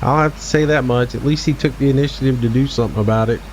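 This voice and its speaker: A man's voice: mid-range voice, quick pace, a little hiss or hum, poor audio. A man in his forties giving casual, laid-back gameplay commentary as a streamer, chatting back to his viewers. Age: 40s. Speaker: game streamer.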